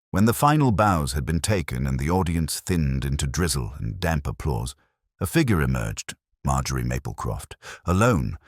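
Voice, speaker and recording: A man narrating a scripted mystery story in a measured, wry, literary way. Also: rumbling low, dry recording, clean studio sound.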